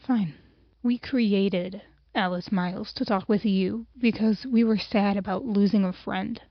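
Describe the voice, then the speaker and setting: A woman's voice: mid-range voice, steady tone, measured pace, no echo. A woman playing a blunt, sardonic young friend in an amateur audio drama. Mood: snarky. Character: blunt, snarky young female friend, unapologetic. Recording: dull, dry lo-fi home recording.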